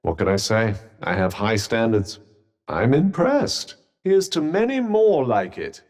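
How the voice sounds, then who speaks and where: A man's voice: mid-range voice, sing-song, a little slow, a little mellow, long reverb tail. A man with a British accent voicing a haughty, sardonic magical cuff companion in a video game, with pompous, droll, formal delivery. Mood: pleasantly condescending.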